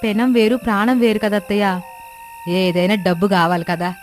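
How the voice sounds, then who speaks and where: A woman's voice: mid-range voice, level tone, normal speed, close to an everyday voice. A woman voicing a caring, earnest poor daughter-in-law from a village in a children's story cartoon. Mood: earnest pleading. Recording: music bed behind the voice.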